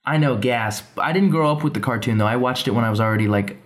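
Fast-talking joking young man. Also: mid-range voice. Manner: quick, rambling and joking. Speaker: podcast co-host. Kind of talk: casual chat.

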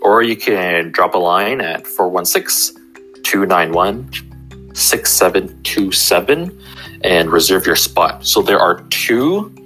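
A young chiropractor talks in a casual interview, walking through everyday examples with many restarts. His voice sits low but sounds bright and forward. Faint music plays softly behind him. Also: lively intonation, slow pace, low-quality recording.

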